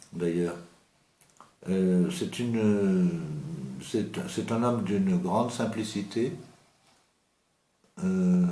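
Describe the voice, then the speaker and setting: A man speaks with slow, deliberate pacing, stopping often to hesitate and restart his sentences. His voice sits at an ordinary pitch. The room around him is echoey and reverberant. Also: a little mellow. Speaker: host presenting an author. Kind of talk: unscripted literary talk.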